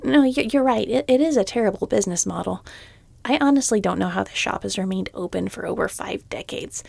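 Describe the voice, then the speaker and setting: A woman's voice: medium pitch, lively intonation, fairly quick, vocal fry, a little mellow, slightly rough, no room sound, full character voice. A woman playing a weary, exasperated shopkeeper in a fantasy audio roleplay, reluctantly polite, with dry, muttered sardonic asides. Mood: sheepish.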